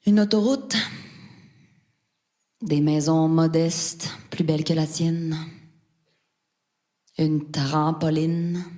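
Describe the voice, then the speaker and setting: A woman's voice: deep voice, steady tone, very slow, pauses often, mellow. An actress playing a dreamy woman delivering a poetic theatrical monologue, lingering on her words. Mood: dreamy, musing. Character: dreamy woman confiding a fascination with houses and landscapes. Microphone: stage microphone. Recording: music under the voice. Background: music, seashore waves and gulls.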